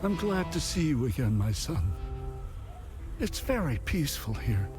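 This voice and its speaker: A man's voice: medium pitch, big pitch swings, measured pace. An actor playing a devout, fatherly older abbot in a video game, speaking in formal, pious and grave phrases. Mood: calm, gentle. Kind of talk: dramatic game dialogue acting. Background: music.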